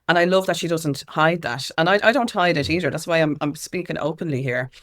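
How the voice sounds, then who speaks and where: A woman's voice: low-pitched, a little fast. An Irish woman speaking as an activist guest in a candid interview, with an emphatic, impassioned way of talking.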